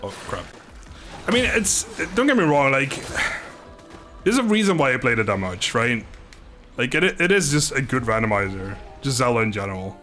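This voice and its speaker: A man's voice: mid-range voice, big pitch swings, moderate pace, pushed and bright, soft background music. A man hosting a live game stream, chatting casually and jokingly with his viewers and often laughing. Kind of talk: casual live gaming commentary and chat.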